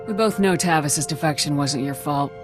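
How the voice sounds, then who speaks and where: An actress playing a composed, confident young squad commander in a video game, speaking in a measured, formal, self-assured way. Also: female voice, low-pitched, natural voice. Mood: calm, questioning. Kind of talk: video game dialogue acting. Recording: clear background music under the voice.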